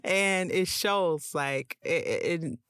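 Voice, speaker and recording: A woman hosting a podcast interview in a casual chat, with a breathy, calming voice and a slight lisp. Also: on the low side, slow pace, dry recording, clean studio sound. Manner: calm, soothing delivery. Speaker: podcast host and audiobook narrator.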